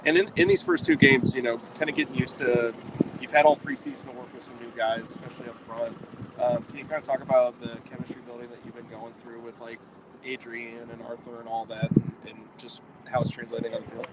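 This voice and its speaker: A man's voice: medium pitch, brisk. A man working as a podcast interviewer, asking loose, rambling questions in a casual post-game style. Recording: clear outdoor background noise.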